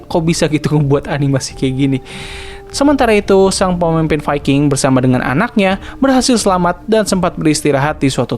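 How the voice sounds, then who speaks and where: Higher-pitched young man narrating a recap. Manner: slangy informal asides between recap lines. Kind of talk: scripted recap narration with casual asides.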